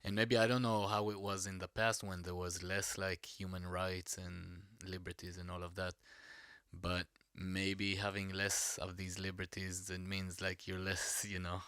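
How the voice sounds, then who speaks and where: A man's voice: sits low, little pitch movement, a little slow, bright, forceful tone, clear, resonant tone, no echo. A man with an Israeli accent, a native Hebrew speaker, hosting a podcast in casual chat and rambling with many fillers and restarts. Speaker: podcast host.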